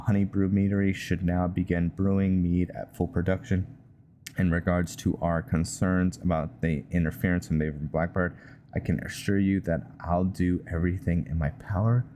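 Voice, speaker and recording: A low-pitched man talks through his roleplay playthrough in a casual, halting way. He sounds like a gaming channel host at his desk, with faint noise behind him. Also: dark tone. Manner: hesitant, halting delivery. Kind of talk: casual gameplay roleplay commentary, reading game notes aloud.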